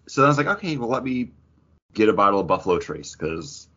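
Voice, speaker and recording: Man, husky voice, casual podcast banter, rambling and jokey, dull lo-fi recording. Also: on the low side, sing-song, brisk, pushed and bright. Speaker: podcast co-host. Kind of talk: casual chat among friends.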